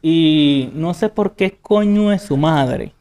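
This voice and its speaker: Laid-back, cursing Caribbean man, smooth voice. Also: a little high, slow pace, a little mellow. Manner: loose, profane storytelling with frequent laughs. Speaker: guest, music producer. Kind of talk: casual podcast chat.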